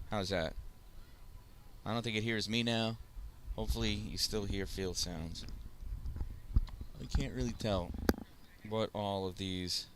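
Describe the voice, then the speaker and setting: A man calling a youth baseball game play by play, chatty and self-deprecating, with rambling asides and a loud voice. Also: lowish voice, very noisy. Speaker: play-by-play announcer. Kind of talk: casual youth baseball commentary.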